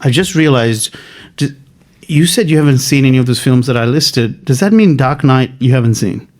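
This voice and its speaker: A man from Sri Lanka, a podcast guest in casual chat, animated and teasing, swearing freely. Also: mid-range voice, normal speed.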